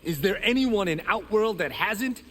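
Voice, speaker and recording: Animated, cocky young warrior. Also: male voice, sits high, moderate pace, long reverb tail, heavy background noise. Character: cocky, boastful young male martial-arts warrior. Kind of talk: video game dialogue.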